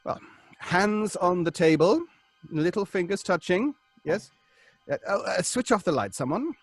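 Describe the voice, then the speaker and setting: An actor playing an earnest, pedantic professor leading a seance in a radio drama, speaking precisely with hesitant ums. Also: male voice, sits high, animated, a little slow, no echo, soft background music, full character voice. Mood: earnest, commanding. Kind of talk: old-fashioned radio drama acting.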